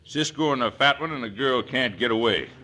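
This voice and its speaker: A man's voice: medium pitch, fairly quick, pushed and bright, close to an everyday voice. An actor playing a scheming, gloating outlaw gang boss in an old radio western, bossy and folksy in his talk. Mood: brisk, commanding.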